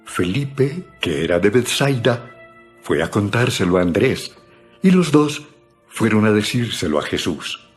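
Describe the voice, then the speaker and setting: Male narrator, low voice, scripted Bible storytelling, soft background music. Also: sing-song, a little slow.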